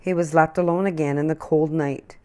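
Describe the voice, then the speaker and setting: A woman reading a children's picture book aloud in an expressive storytelling manner. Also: sits low, moderate pace.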